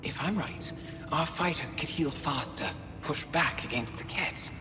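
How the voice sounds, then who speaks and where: A calm, persuasive alien scientist. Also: female voice, deep voice, music in the background. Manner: measured, persuasive. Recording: reverberant game audio with hiss.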